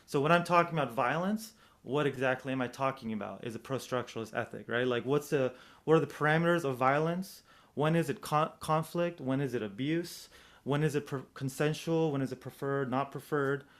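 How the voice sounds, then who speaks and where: A man's voice: mid-range voice, brisk, a bit bright. Reflective American man giving an informal academic talk. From United States, Southern California. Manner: reflective, hedging, thinking aloud with rhetorical questions. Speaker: presenter, domestic violence practitioner. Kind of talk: academic presentation, informal and explanatory.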